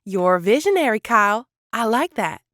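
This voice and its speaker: A woman's voice: medium pitch, sing-song, moderate pace, a bit bright, dry recording, clean studio sound. A woman playing an eager, scheming small-town dreamer in a cartoon, chatty and enthusiastic. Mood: excited. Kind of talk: animated comedy dialogue.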